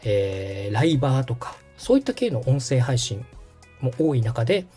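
Man, polite tutorial host, mellow and a bit husky, varied intonation, faint background music. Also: medium pitch, very animated, normal speed. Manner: polite, explanatory, clearly guiding. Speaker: podcast host and tutorial instructor, radio director. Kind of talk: step-by-step spoken tutorial.

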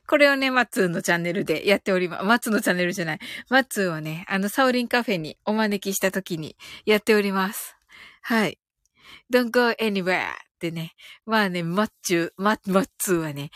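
A woman's voice: fairly high pitch, fairly bright tone, no room sound, clean studio sound. A woman hosting a casual live audio stream, chatting playfully with listeners, giggly and often repeating phrases with amusement. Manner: giggly, breaks into frequent laughter.

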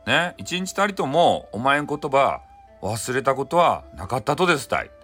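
A man voicing a great, tender mother dragon of the lake, declaring things slowly in Hakata dialect. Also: medium pitch, very animated, fairly bright tone, slightly rough, faint music behind. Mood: tender, solemn.

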